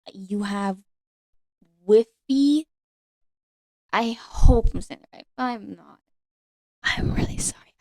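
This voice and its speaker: A woman voicing a lonely, ancient nature fairy, sweet and timid, speaking haltingly and sometimes whispering in an ASMR roleplay. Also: on the high side, dark tone, no room sound. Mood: apologetic, sad. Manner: hesitant, halting, apologetic, often whispering. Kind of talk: ASMR roleplay, one-sided conversation with the listener.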